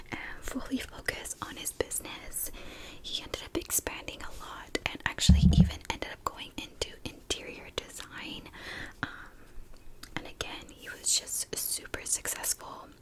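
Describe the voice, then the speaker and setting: A woman speaks in a breathy, hushed whisper, as in an ASMR video. She tells her story casually and gently, taking her time and pausing between short phrases. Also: poor audio. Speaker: ASMR creator and true crime storyteller.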